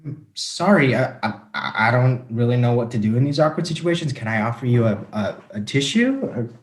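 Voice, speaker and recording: A man's voice: mid-range voice, lively intonation, brisk, echoey room, natural voice. A man playing a cowardly, self-important rich young husband in a comic staged reading, hesitant and stammering. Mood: awkward, muted.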